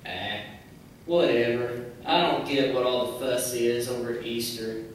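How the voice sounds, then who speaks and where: A man's voice: medium pitch, steady tone, measured pace, recorded from a distance, lightly acted. Guy doing a grumpy skeptical kid puppet, mumbly and scornful, really echoey noisy hall. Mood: dismissive. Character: sullen dismissive skeptical boy puppet. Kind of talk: children's church puppet show.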